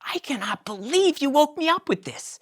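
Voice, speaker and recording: A woman's voice: high-pitched, sing-song, moderate pace, pitched above the performer's own voice. A woman voicing a blunt, outspoken woman who runs out of patience. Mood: exasperated. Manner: blunt, exasperated.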